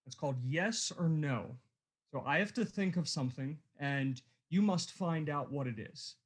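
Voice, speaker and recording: A jovial, kindly nephew played by a man in a radio play. The voice sits a little high for a man, earnest and full of good humor. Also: moderate pace, close to an everyday voice.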